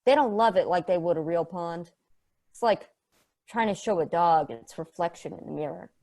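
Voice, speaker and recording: A woman's voice: fairly low pitch, normal speed, a little mellow, natural voice. Woman acting a sardonic, rambling, sweary female probe. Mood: wry, ranting. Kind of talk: conversational acting in a script table read.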